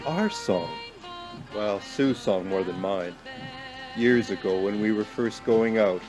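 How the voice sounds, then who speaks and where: A man's voice: slow, over music. An actor playing a numb, guilt-stricken man traveller in a radio play, speaking in a hesitant, subdued way. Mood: dazed, subdued. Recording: background noise with car rumble.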